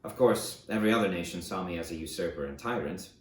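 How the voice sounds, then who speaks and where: A man's voice: low-pitched, fast talker, close to an everyday voice. A man voicing a proud, defiant conqueror in dramatic first-person audiobook narration, declamatory and self-justifying. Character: proud, defiant conqueror ruler recounting his rise. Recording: roomy, echoey sound.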